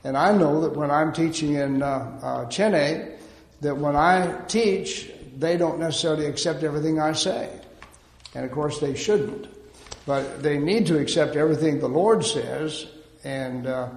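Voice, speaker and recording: Man, preacher, sermon, gravelly voice, measured with fillers, long hall echo. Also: on the high side. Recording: echoey hall.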